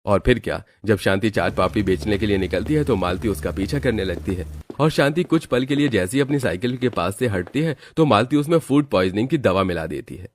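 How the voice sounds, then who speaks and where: A man's voice: fairly low pitch, expressive, fairly quick. A man narrating a scripted moral cartoon story as its storyteller. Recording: music bed behind the voice.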